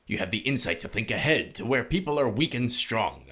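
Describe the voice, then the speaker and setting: An actor playing a calm, shrewd older male mentor in a visual novel, speaking in a measured, formal way. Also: medium pitch, close to an everyday voice. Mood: proud. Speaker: teacher. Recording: music behind the voice.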